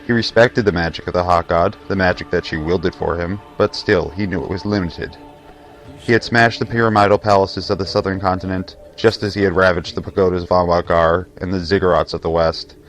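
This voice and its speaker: A man's voice: low voice, steady tone, a little fast, poor audio. A man narrating a scripted fantasy tale as the storyteller of an audio drama. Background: faint music.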